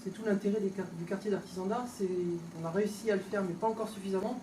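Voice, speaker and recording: A woman local official gives an informal opening speech in a conversational, halting, off-the-cuff way, recorded indoors from a distance. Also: medium pitch, steady tone. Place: indoors, tourist office exhibition hall. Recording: echoey room, distant mic, background noise.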